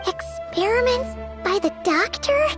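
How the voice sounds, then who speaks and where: Tiny, extremely squeaky companion. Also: female voice, expressive, a little slow, over music. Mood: curious, puzzled. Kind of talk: anime-style video game voice acting.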